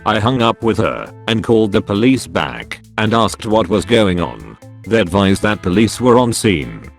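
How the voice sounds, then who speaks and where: A man narrates first-person forum stories in a scripted read. His voice sits on the low side and his intonation is lively and varied. Faint music plays with the narration. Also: a little slow. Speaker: narrator reading online forum posts.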